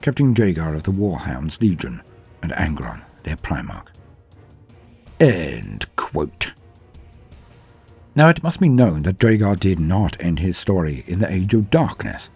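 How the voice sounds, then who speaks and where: A man's voice: low-pitched, sing-song, a little slow, vocal fry, pauses often, a little mellow, husky. A man delivering scripted lore narration in a grave, dramatic storytelling manner. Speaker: host narrator of a lore channel. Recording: faint music behind. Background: faint music.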